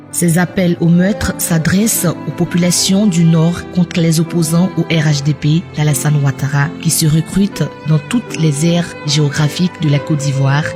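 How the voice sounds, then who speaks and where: A man's voice: on the high side, steady tone, normal speed, music in the background. A man reading scripted narration for a news documentary in a formal reporting style.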